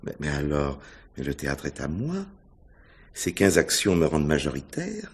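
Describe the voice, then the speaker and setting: An actor playing a smug, cuckolded husband in a radio mystery play, talking in a mocking, condescending manner. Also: male voice, very deep voice, wildly swooping pitch, normal speed, exaggerated voice. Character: sardonic, smug cuckolded husband and theatre man.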